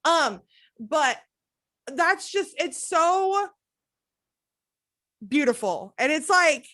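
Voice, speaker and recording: A woman hosting a podcast, with a notably high voice. She gushes over her guest and rattles off playful asides. The chat is loose and unscripted. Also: animated, sharp tone. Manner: effusive, gushing, quick asides. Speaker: podcast host. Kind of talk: casual interview chat.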